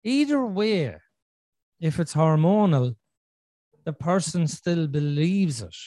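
A man's voice: fairly high pitch, animated, takes it slowly, mellow, dry recording. Earnest man talking on a podcast. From Ireland. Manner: earnest, thinking aloud with restarts and "you know". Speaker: podcast host. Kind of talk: casual conversational podcast chat.

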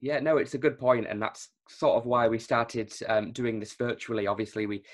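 A man's voice: mid-range voice, steady tone, moderate pace. A man who hosts a tech podcast, asking questions over a video call in a casual way, with fillers like "um.". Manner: friendly, filler-heavy questioning. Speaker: recruiter and podcast host. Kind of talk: casual interview hosting.

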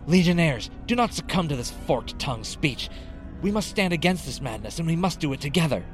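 A man's voice: a little high, lively intonation, brisk, faint music behind, pitched above the performer's own voice, natural voice. Man acting a desperate, impassioned soldier. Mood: defiant, shouting. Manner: urgent, impassioned. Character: brave, desperate male soldier, a sergeant.

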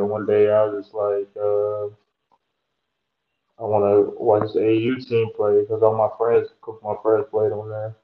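Laid-back man, flat voice. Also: fairly low pitch, echoey room, muffled recording. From Georgia, USA. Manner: laid-back, rambling with many fillers and restarts. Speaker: guest, pro basketball player. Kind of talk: casual interview chat.

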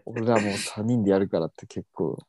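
A man's voice: lowish voice, a little fast, a loud crowd. A man on a podcast reacts to a story with amused, casual comments. He talks in an informal, easygoing way.

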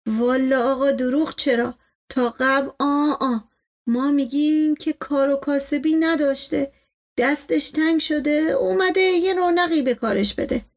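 A woman voicing a placating, folksy male servant in an audiobook story, with colloquial, deferential, drawn-out phrasing. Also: high voice, steady tone, voice pushed up. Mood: evasive, placating.

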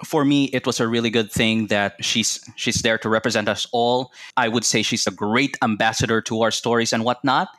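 A man's voice: medium pitch, fairly even intonation, moderate pace, hardly any pauses, a bit bright, no room sound. A Filipino man from Cebu, a Cebuano speaker, chatting enthusiastically as a podcast guest. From Philippines (Cebu). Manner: chatty and enthusiastic, laughs often. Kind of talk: casual conversational interview.

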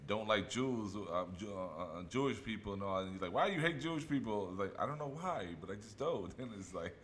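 A man's voice: on the low side, expressive, brisk, a bit bright, slight background noise. A man hosting a casual radio chat, rambling and joking as he acts out other voices. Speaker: radio host.

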